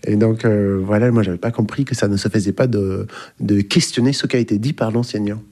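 A man from the English-speaking Caribbean, a native English speaker, recounting a personal anecdote as an academic interviewee in a hesitant, measured manner. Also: lowish voice, fairly even intonation, noisy background. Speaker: university linguistics lecturer, interviewee.

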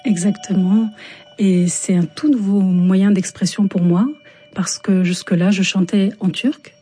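A woman's voice: mid-range voice, steady tone, unhurried, dark tone, husky, faint music behind. A woman born in Istanbul and raised in France, a native Turkish speaker, answering reflectively and thoughtfully as an interview guest. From born in Istanbul, grew up in Chartres, France. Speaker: interview guest, author and singer.

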